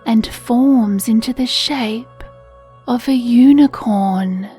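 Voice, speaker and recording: A woman reads a children's bedtime meditation at an extremely slow, unhurried pace. Her voice is soft, mellow and hushed, with a soothing, breathy gentleness. Quiet music plays behind her. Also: fairly high pitch. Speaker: meditation narrator. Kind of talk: children's sleep meditation storytelling, scripted narration.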